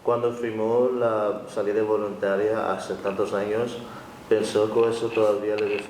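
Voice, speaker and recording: Man, interviewer, informal and hesitant; low voice, distant from mic, echoey with rumble. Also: flat delivery, measured pace, darkish tone. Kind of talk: casual interview questions.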